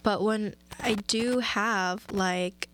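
A young woman co-hosting a podcast in casual chat, talking with many fillers and quick laughs. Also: mid-range voice, steady tone, fairly bright tone, slight background noise, rough recording. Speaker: podcast co-host.

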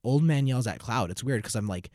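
A nineteen-year-old man hosting his own podcast, talking alone in an animated, theatrical way with sudden loud bursts of play-acting. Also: medium pitch, a little fast, no room sound, clean studio sound. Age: 19, nearly 20. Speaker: podcast host. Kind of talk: solo podcast monologue.

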